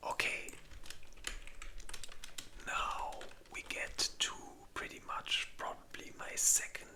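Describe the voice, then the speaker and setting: A host speaking in a breathy, unvoiced whisper, rambling informally in a casual ASMR ranking video. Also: slow.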